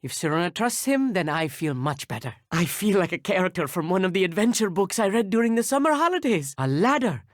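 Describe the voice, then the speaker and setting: An actor playing an earnest, bookish teenage schoolboy wizard in a video game, polite and a little nervous, with precise, slightly formal speech. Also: male voice, sits high, sing-song, brisk, hardly any pauses, no echo, clean studio sound. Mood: eager. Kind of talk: scripted video game dialogue.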